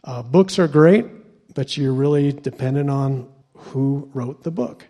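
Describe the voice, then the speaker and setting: A man's voice: mid-range voice, unhurried, dark tone. A man from Ohio giving a sermon to a church congregation as a guest lay preacher, stumbling and repeating words with lots of fillers. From Ohio, USA.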